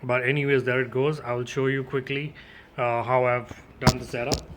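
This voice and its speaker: A man's voice: medium pitch, level tone, normal speed, background noise. A man giving a casual, informal unboxing and demo as a gear reviewer, addressing his viewers directly.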